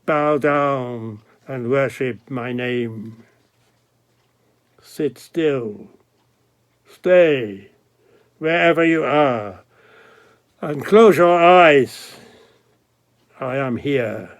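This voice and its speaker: An actor playing an ancient, grandiose male god of time, speaking in a solemn, incantatory way with long dramatic pauses. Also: a little high, animated, heavily acted. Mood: solemn commanding. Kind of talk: dramatic poetic monologue.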